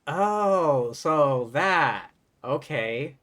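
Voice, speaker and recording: A man's voice: fairly high pitch, lively intonation, extremely slow, a bit bright. A man giving casual, wry live commentary as he streams a video game, with game music behind him. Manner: wry, sarcastic.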